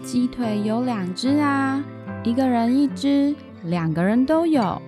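Woman playing tired mom in a kids radio play, talks slow, smoothing things over. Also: fairly high pitch, animated, music in the background. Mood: placating. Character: mother refereeing her daughters, weary and placating. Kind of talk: children's radio drama acting.

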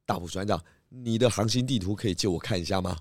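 Low-voiced, authoritative detective chief. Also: male voice, fast talker, no echo, studio quality. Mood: explaining. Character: calm, righteous male detective chief giving verdicts and advice. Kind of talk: children's detective radio drama acting.

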